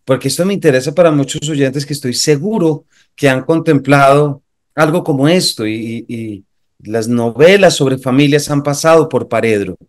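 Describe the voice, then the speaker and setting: A man's voice: mid-range voice, moderate pace. A man hosting an interview, asking long, winding questions. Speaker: interviewer, host. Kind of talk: conversational interview.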